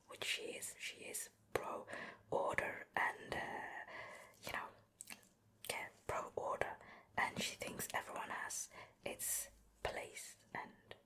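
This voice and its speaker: Softly whispering woman, slow ASMR delivery. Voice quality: breathy whisper. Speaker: ASMR host. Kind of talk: soft whispered ASMR show and tell. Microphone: close ear-to-ear microphone.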